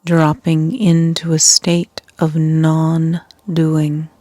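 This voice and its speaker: A woman leading a guided meditation as a yoga nidra guide, soothing and hushed, with soft breathy edges that fall near a whisper and long pauses. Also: deep voice, completely flat delivery, no room sound.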